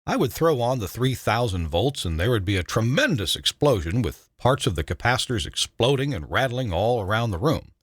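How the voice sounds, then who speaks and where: Memoir narrator: older American male, low voice, lively swooping intonation, folksy and wry, dry close sound. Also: a little fast, hardly any pauses, no room sound. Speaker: retired broadcast engineer telling memoirs. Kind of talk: scripted first-person memoir narration.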